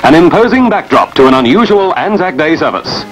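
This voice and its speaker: A man's voice: fairly high pitch, sing-song, a little fast, rough recording. A man delivers formal, declamatory scripted narration in the style of a 1950s newsreel. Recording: 1950s archival newsreel soundtrack. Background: music.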